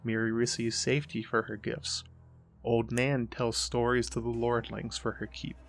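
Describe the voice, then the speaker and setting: A man narrating a scripted analytical essay as the host, in a measured explanatory way. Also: medium pitch, monotone, gravelly, faint music behind. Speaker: host of a book and mythology analysis show.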